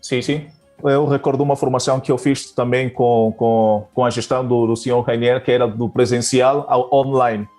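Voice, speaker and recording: Guest teacher from Angola, male, mid pitch, halting delivery full of restarts. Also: steady tone, darkish tone, soft background music. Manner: hesitant, many fillers and restarts. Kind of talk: interview answers.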